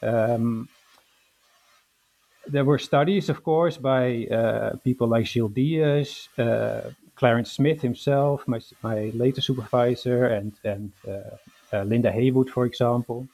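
A man from the Netherlands talks about his academic path in an interview. He pauses often and fills gaps with "uh", and he restarts his sentences. His voice is mellow and relaxed. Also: medium pitch, vocal fry. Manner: hesitant, many fillers and restarts. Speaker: historian guest.

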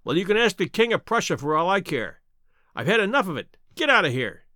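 A man's voice: high voice, sing-song, fairly quick, pushed and bright, no echo, studio quality, exaggerated voice. A man voicing a surly, hot-tempered market salesman in an audiobook, fierce and impatient, raising his voice. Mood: exasperated.

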